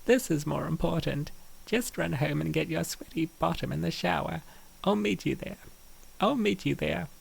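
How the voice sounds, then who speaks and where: Man voicing husky, very creaky young college women. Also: a little high, normal speed, full character voice, rough recording. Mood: bossy. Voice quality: raspy, creaky. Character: young college women roommates, bantering, teasing and bickering. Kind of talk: comic dub dialogue.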